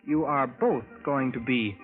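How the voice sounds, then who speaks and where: Man playing mysterious future visitor, measured formal delivery, vintage radio drama, thin band-limited sound, faint music. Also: mid-range voice, phone-quality sound, lightly acted. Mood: cryptic, solemn. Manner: measured, formal, avoids contractions. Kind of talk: 1940s radio acting.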